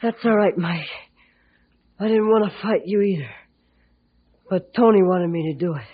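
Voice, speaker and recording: Casting: earnest, sorry boy, high voice, plain-spoken, vintage radio serial, dull sound. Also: moderate pace, slightly rough. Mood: humble, friendly. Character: contrite, earnest boy seeking his gang's friendship. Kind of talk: old-time radio drama acting.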